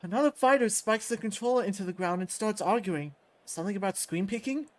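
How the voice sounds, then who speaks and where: A woman reading a visual novel aloud as expressive first-person story narration. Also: mid-range voice, brisk.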